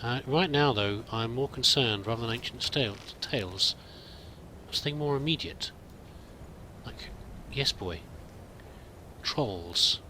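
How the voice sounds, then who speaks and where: A man's voice: medium pitch, unhurried, pauses often, pushed and bright, slight background noise. A man giving in-character let's play commentary, musing aloud and muttering to himself as he goes. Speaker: host of a roleplay let's play.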